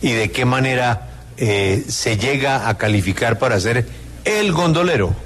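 A man's voice: mid-range voice, expressive, a little slow, fairly bright tone, a little hiss or hum. A man hosting a radio news show, formal and polite as he interviews a guest. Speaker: radio host.

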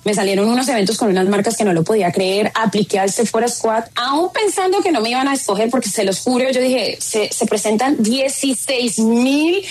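Animated Colombian woman telling her story. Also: a little high, a little fast, few pauses, breathy edge. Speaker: guest, content creator. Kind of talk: interview storytelling.

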